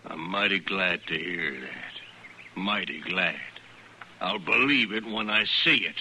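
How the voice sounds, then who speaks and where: A hard, piercing, lowish male voice makes a bullying frontier cattleman. He blusters and sneers in folksy rustic talk, in a vintage radio western with a little hiss. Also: lively intonation, takes it slowly, sharp tone, heavily acted. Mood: sneering, threatening. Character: blustering, menacing cattleman father, grown man. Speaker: actor in a western radio drama. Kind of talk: old-time radio acting. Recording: old radio recording, faint hiss.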